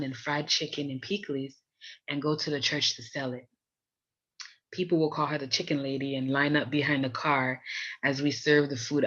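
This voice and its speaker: A woman's voice: extremely low pitch, fairly bright tone, highs cut off. An American woman from Miami hosting a podcast, telling personal stories in an introduction. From Miami, USA. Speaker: podcast host.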